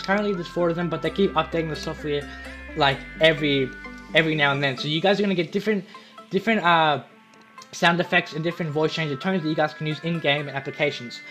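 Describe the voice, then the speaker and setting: A young man giving a casual, informal software tutorial, repeating himself as he explains. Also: high-pitched. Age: young adult. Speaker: tutorial video host. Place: small home room. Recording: faint music behind voice.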